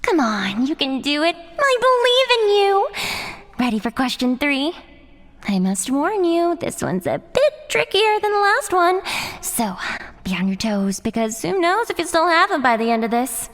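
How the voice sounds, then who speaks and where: A high, wildly swooping female voice plays a young metalhead sadist in a horror audio drama. She toys with her captive, mixing sugary pet-name sweetness with crude, taunting menace. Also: sing-song, measured pace, a bit bright, full character voice. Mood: gleeful, taunting. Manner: taunting, mock-sweet, foul-mouthed. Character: gleefully sadistic young heavy-metal woman tormenting a captive.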